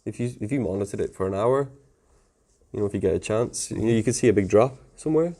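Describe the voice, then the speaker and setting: A man's voice: on the low side, quick pace, mellow. A man giving a casual technical tutorial in an informal, plain-spoken, conversational way. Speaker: technical presenter explaining network setup.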